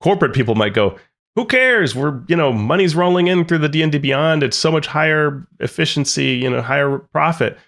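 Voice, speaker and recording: A man's voice: fairly high pitch, expressive, brisk, vocal fry, fairly bright tone. A man co-hosting a gaming podcast, giving his views in casual chat and thinking aloud with lots of restarts. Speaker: podcast co-host.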